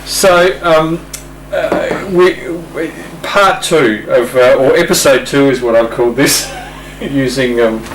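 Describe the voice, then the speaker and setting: An Australian man hosting an informal interview, feeling his way through questions with halting phrasing. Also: medium pitch. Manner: hesitant, halting. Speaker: interviewer, host. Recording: roomy, distant mic, faint hum.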